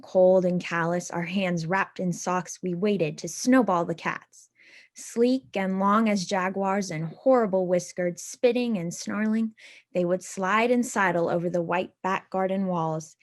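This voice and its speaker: A woman reads a literary story aloud in a medium-pitched voice. She shapes each phrase deliberately and with some drama, as a storyteller would in a readers theatre. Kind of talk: expressive literary storytelling reading.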